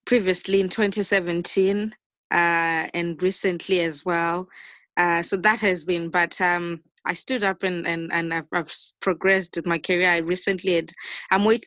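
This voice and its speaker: A young woman from Zimbabwe speaks with a Zimbabwean accent. Her voice is on the low side, and the sound is dry with little room in it. She answers conversationally as an interview guest. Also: fairly bright tone, no room sound. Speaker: interview guest, finance analyst. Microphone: video call.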